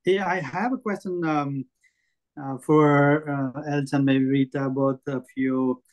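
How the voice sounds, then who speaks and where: A man with a Nepali accent, from Nepal, putting a question to the speakers in a mid-range voice. He hesitates often and fills pauses as he works his way toward the question. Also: medium pitch, steady tone, a little mellow, lo-fi sound. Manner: hesitant, with many fillers. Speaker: audience member asking a question. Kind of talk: spontaneous question.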